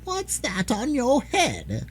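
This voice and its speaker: Shrill spiteful sealskin dwarves. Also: male voice, huge pitch swings, slow pace, slight background noise, heavily acted. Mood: menacing. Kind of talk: storybook reading.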